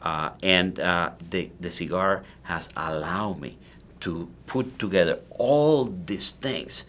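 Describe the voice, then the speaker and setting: A man with a deep, low Cuban Spanish accent tells his story in a relaxed interview, talking in animated bursts and often restarting his sentences. Spanish is his first language, and he sounds like he is in his forties. A faint ambience sits behind him. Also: slow, slight background noise. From Cuba. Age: 40s. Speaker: guest, cigar maker. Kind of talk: casual interview.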